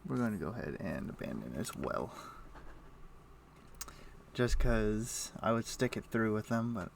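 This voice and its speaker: A man's voice: lowish voice, sing-song, measured pace, vocal fry, a little hiss or hum. A man giving casual game stream commentary, thinking aloud as he plays. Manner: thinking aloud while playing. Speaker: livestreamer. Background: music.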